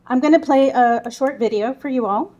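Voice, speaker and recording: A woman in her forties, a native Spanish speaker who grew up on the Texas-Mexico border, presents on a conference panel. She talks quickly and earnestly, with small stumbles, in a voice that sits a little high. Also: warm tone, highs cut off. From Texas-Mexico border, Southwest Texas. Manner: quick, earnest, slightly halting speech. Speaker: panelist, volunteer group lead.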